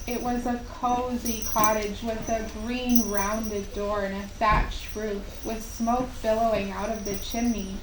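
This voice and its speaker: A woman tells a children's tale at a very slow, deliberate pace, her voice a little high. She sounds some way from the microphone in a roomy, echoing space, in the gentle manner of a puppet show storyteller. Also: flat delivery. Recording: echoey, distant mic, faint hiss.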